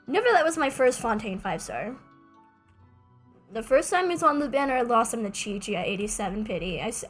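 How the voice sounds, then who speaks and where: A woman's voice: fairly high pitch, expressive, fairly quick, soft background music. A young adult woman streaming a game, chatting casually in a rambling, self-mocking way with joking asides. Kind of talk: casual gameplay streaming chat.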